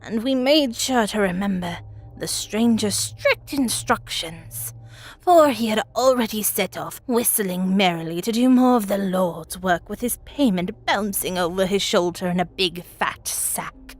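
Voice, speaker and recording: A woman voicing a very elderly village woman, telling a grim tale from her childhood in a folksy, deliberate, dramatic manner. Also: mid-range voice, faint music behind, lightly acted. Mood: dark, wry. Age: old woman as played. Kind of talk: dramatic first-person storytelling.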